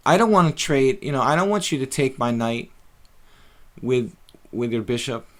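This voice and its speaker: Relaxed rambling man streaming chess. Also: mid-range voice, moderate pace. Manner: relaxed, rambling, thinks aloud with fillers. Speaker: chess streamer commentating his own games. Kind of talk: casual live-stream commentary while playing.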